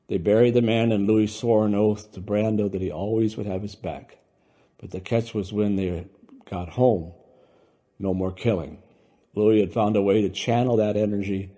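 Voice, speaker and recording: A man's voice: fairly low pitch, mellow. A man reading his own short story aloud as a scripted narration.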